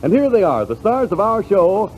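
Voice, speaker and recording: High-voiced 1940s American radio announcer, a man. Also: very animated, moderate pace, very noisy. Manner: showy, formal introduction. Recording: muffled old radio recording with loud music. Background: loud music.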